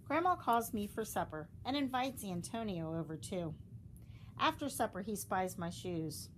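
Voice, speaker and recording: A woman reading a children's storybook aloud, expressively, with dramatic emphasis and deliberate pauses. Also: mid-range voice, lively intonation, a little hiss or hum, low-quality recording. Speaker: storybook reader.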